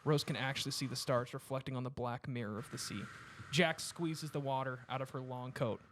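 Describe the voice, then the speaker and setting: A man's voice: mid-range voice, steady tone, brisk, fairly bright tone. A man hosting and narrating a comedy table read, moving between casual directions and scripted narration. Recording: background noise, occasional clicks.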